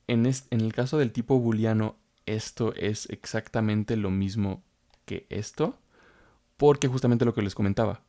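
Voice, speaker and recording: Calm low-voiced man explaining code. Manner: explains step by step, repeats phrases, frequent fillers. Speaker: programming instructor. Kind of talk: screen-recorded coding tutorial.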